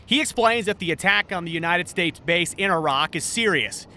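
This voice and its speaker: A man reading news narration as a TV reporter, in a measured, even delivery. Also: sits high.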